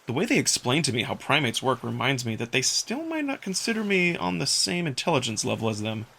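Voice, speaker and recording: A man narrating a first-person visual novel story in an expressive, dramatic style. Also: medium pitch, brisk, gravelly, slight background noise.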